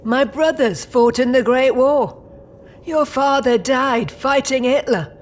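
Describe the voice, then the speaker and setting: A woman's voice: high-pitched, slow. A woman playing a proud, old-fashioned British mother and grandmother-to-be, formal and stern yet doting, in an audio drama.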